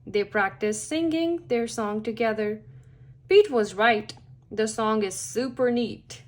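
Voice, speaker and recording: A woman reads a children's story aloud at an unhurried pace. Her voice sits fairly high, and her phrasing is simple, deliberate and expressive. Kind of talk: picture-book read-aloud for young children.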